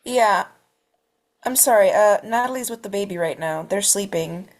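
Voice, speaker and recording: A nervous mother, read by a woman, apologizes with little hesitations. Her voice is mid-pitched for a woman and plain. She sounds uneasy and eager to explain. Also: moderate pace, close to an everyday voice. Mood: apologetic. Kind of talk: amateur table read.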